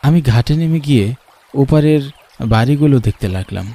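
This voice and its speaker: A man's voice: medium pitch, animated, a little fast, warm tone, close to an everyday voice. A man playing an earnest young man who narrates a cartoon ghost story in the first person. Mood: wondering, uneasy. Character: earnest young man narrating his eerie village journey.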